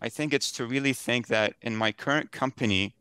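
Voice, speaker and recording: A man's voice: mid-range voice, brisk, pushed and bright, smooth, no echo. A man from the Middle East giving conversational, explanatory interview answers as a podcast guest. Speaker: podcast guest, software engineering director.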